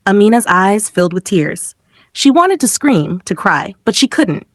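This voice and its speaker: A woman's voice: mid-range voice, sing-song, measured pace, no echo. Female storyteller for scripted dramatic folktale narration, dry intimate recording.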